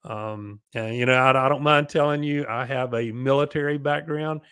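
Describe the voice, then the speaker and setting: Man, middle-aged, booming bass-baritone, conversational advice, halting repeated phrases, very little room sound. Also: steady tone, fairly bright tone, clear, resonant tone, no echo, studio quality. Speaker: guest expert advising parents.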